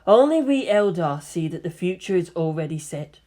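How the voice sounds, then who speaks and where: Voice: mid-range voice, sing-song, warm tone, close to an everyday voice. Narrator as a proud, commanding alien seeress. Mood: amused. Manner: commanding, solemn, measured. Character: proud, commanding female Eldar seer, cryptic mentor.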